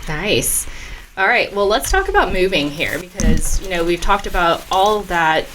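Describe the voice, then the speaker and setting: A woman's voice: lowish voice, sing-song, normal speed, fairly bright tone, slight background noise, low-quality recording. A woman co-hosting a casual podcast, chatty, adding details and clarifying points. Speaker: podcast co-host. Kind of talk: casual chat.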